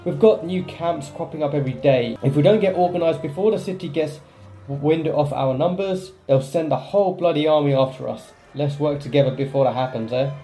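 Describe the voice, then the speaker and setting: Male gaming host, fairly high pitch, mellow tone, casual playthrough commentary, reading aloud, light music behind. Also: fairly quick. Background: game music.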